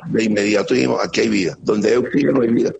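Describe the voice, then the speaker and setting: A middle-aged man with a Venezuelan accent giving an earnest, halting account as an interviewee, often repeating words. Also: fairly low pitch, fairly even intonation, vocal fry. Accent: Venezuelan Spanish. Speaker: interviewee, security operator. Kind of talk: emotional testimony on a remote interview. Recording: muffled lo-fi line with faint noise.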